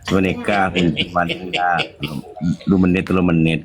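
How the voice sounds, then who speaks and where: A man with a Madurese accent giving an informal religious talk in a group discussion, in a halting, code-switching delivery. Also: deep voice, little pitch movement, soft background music. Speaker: guest speaker in a group discussion.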